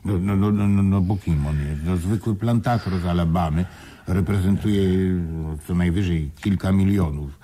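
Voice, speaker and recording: An actor playing an excitable but pragmatic man in a radio play, talking conversationally in a husky voice. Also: low-pitched, normal speed. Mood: reasoning. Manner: conversational, animated, hesitant repetitions. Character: excitable, pragmatic man explaining news to his partner. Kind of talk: Polish radio play acting.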